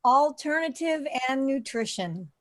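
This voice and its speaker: A woman's voice: sits high, expressive, measured pace. A woman playing a polite ex-military wellness business owner as a game show contestant, answering briefly. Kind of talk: improvised game show parody.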